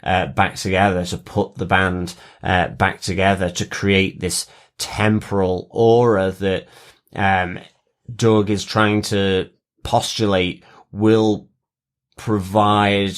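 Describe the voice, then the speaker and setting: Male podcast co-host. Fairly deep pitch, unhurried and halting delivery, informal recap chat. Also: flat delivery. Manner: halting, hesitant phrasing with broken-off sentences. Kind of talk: casual TV recap discussion.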